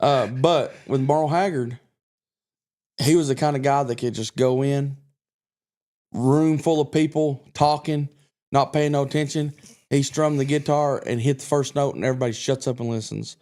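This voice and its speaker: A man's voice: mid-range voice, fairly even intonation, moderate pace, darkish tone. A man from Kentucky, a guest country singer, chatting on a podcast in a confident, rambling way with many restarts. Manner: self-assured, rambling with restarts. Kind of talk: casual podcast chat.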